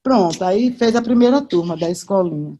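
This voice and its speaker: A woman's voice: medium pitch, animated, a little fast, warm tone, dull audio. An older woman with a Northeastern Brazilian accent, reminiscing casually as an interview guest, chatty and full of asides and tag questions.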